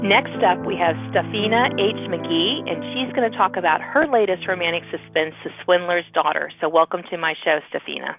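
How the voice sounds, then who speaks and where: A woman's voice: on the low side, moderate pace, few pauses, soft background music. A woman hosting a casual, chatty podcast interview with authors in an enthusiastic, encouraging manner. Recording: thin phone-like sound.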